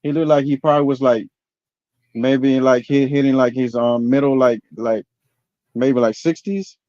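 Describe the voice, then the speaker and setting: A man's voice: medium pitch, fairly even intonation, normal speed, mellow, no echo. A man in his forties chatting casually and laid-back, saying "bro" a lot. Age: 40s. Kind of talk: casual chat. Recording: dull lo-fi sound.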